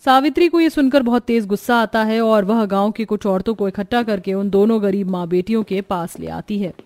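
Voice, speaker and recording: A woman's voice: mid-range voice, fairly quick, a little mellow, dry recording, clean studio sound, soft background music. A woman reading scripted story narration for a cartoon.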